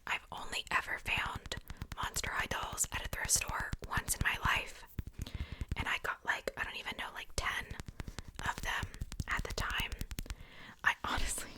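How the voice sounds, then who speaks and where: A woman speaking in a breathy, unvoiced whisper in a casual ASMR show-and-tell style, hushed and informal. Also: normal speed, rough recording. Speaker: ASMR video creator.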